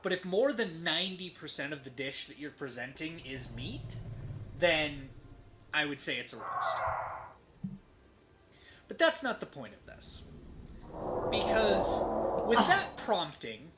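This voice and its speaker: A streamer telling a story casually and comedically while gaming, with profanity, dramatic pauses and rhetorical tags. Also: medium pitch, big pitch swings, background noise. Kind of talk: casual comedic storytelling during game play.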